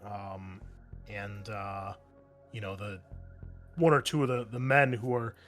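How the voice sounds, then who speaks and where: A man's voice: on the low side, fairly even intonation, takes it slowly, a bit bright, faint music behind. A man speaking informally and conversationally as game master, narrating and refereeing a tabletop roleplaying game.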